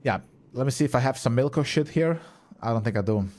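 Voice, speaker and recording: A man's voice: mid-range voice, expressive, quick pace, darkish tone. A young man whose first language is Croatian, chatting casually with viewers and swearing now and then while he plays on a live stream. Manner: chatty, reads and answers chat, swears casually. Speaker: game streamer.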